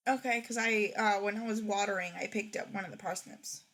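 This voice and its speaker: A woman's voice: medium pitch, steady tone, fairly quick, a bit bright. A young woman chatting casually while playing a game, rambling with frequent restarts. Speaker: streamer. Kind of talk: casual gaming chat.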